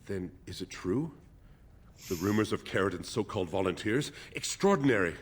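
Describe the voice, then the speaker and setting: A man playing a formal, learned dwarf archivist with a husky voice in a fantasy video game. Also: sits low, animated, normal speed. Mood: amazed.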